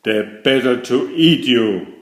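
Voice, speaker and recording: Guy doing a sneaky fairytale wolf, fake sweet but menacing, swoopy pitch, roomy sound. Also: lively intonation, slow pace, fairly bright tone. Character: sly cunning male wolf, falsely sweet and menacing.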